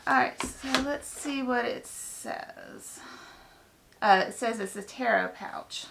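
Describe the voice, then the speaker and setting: A woman with a hoarse, raspy voice from a head cold, chatting casually through an unboxing with lots of ums. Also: on the high side, takes it slowly, background noise. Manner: chatty, rambling, many ums. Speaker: book vlogger.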